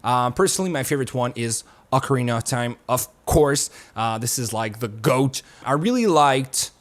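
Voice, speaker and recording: A man's voice: mid-range voice, expressive, moderate pace. A young man and YouTube host talking to his viewers from his home studio. He talks casually and in a rambling way, with the odd swear word. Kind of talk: casual chat to camera.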